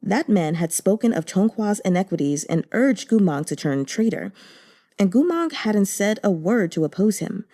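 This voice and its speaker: A woman narrating a translated novel in a measured, deliberate storytelling manner. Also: mid-range voice, sing-song, warm tone. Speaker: audiobook narrator.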